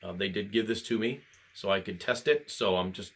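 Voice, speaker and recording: A man talks candidly to his viewers, earnest and a little halting. His voice sits low and sounds worn from a recent illness. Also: fairly bright tone. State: recovering from illness, voice altered. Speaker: channel host. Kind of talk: casual video address.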